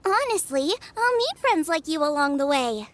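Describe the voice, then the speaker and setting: Very high, cheerful young girl. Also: big pitch swings, moderate pace. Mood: earnest, reassuring. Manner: bubbly, warm, earnest reassurance. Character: cheerful, kind young girl chef, eager and encouraging. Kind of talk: animated video game voice acting.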